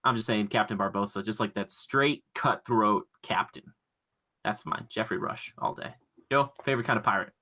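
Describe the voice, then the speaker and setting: A man's voice: fairly low pitch, normal speed, bright, forceful tone. A man hosting a podcast in a casual, chatty, joking style. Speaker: podcast host.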